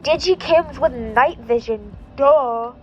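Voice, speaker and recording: A sarcastic, geeky teenage boy sidekick, as a woman reads him aloud. The voice is high and unhurried, with a dull, lo-fi recording and faint music underneath. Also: slight background noise. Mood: smug.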